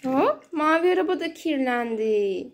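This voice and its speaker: High-pitched, slow-talking mother playing. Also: animated. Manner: sing-song baby talk with repeated words. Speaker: mother playing with her young child. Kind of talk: playful child-directed play narration. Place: indoors at home beside water play tubs. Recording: noisy home phone recording with clicks.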